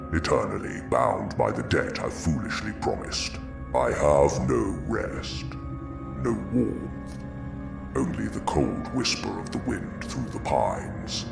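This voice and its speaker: A man voicing a haunted old undead hunter in gothic horror narration, gravelly, brooding and ominous. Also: heavily acted. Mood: mournful, eerie. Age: older. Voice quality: gravelly, very deep. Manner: slow, brooding, ominous storytelling. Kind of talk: scripted first-person gothic horror narration. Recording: roomy echo over music. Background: music.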